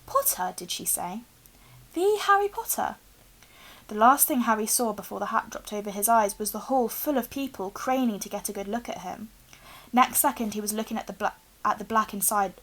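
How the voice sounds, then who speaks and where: A young woman reads a storybook aloud in an expressive narrating style. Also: mid-range voice, brisk, poor audio. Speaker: reader, teacher. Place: small room indoors with bookshelves.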